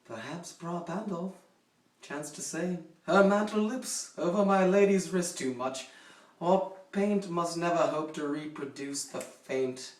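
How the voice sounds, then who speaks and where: A man playing a haughty, possessive, coldly jealous duke in a theatrical, deliberate dramatic poetry recitation. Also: a little high, lightly acted. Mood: indignant. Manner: theatrical, deliberate, swinging from hushed to forceful. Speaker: poetry reader. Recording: roomy echo, distant microphone.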